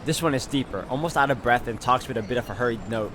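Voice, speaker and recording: A man's voice: medium pitch, fast talker, a bit bright, a little hiss or hum. A man reading first-person visual novel narration aloud as he plays through the game.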